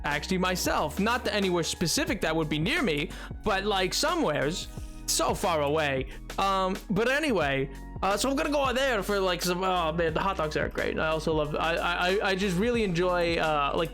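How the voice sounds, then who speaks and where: A man streaming a game and chatting casually with his viewers, excitable and rambling, with many restarts and sudden yelps. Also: high-pitched. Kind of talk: casual live-stream chat.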